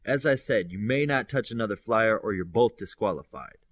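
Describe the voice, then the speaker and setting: A man's voice: fairly low pitch, lively intonation, normal speed, no echo. A man voicing a firm male school coach giving instructions in an audiobook.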